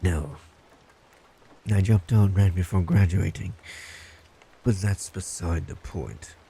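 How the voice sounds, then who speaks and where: Casting: male actor as smug obsessive stalker boyfriend for audio roleplay, dark mellow voice with unhurried delivery, blunt, creepy, faint noise behind. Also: sits low, gravelly, exaggerated voice. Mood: matter-of-fact persuading. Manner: blunt, condescending, teasing. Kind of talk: boyfriend roleplay audio, intimate scripted monologue.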